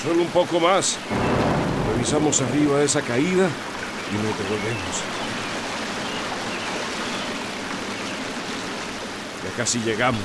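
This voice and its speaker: A man's voice: mid-range voice, lively intonation, lightly acted. An actor playing an urgent, commanding man racing to warn his village in a Central American radio drama, with a Central American Spanish accent. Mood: determined. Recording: very noisy, rain and rushing water.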